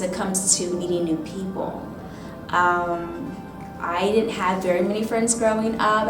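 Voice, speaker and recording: A woman in her thirties, a teacher, reflecting thoughtfully in an oral history interview, often pausing with "um" and "you know". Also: mid-range voice. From Michigan, raised in Ohio. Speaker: teacher and instructional coach. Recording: roomy, distant mic with music behind.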